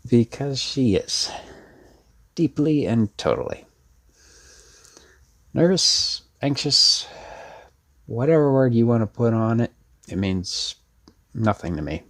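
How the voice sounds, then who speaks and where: A man's voice: mid-range voice, expressive, slow, long pauses, a bit bright. A man playing a laid-back, self-deprecating young rebel in an audio roleplay, rambling casually with sardonic wit. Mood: teasing, rambling. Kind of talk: ASMR roleplay audio drama.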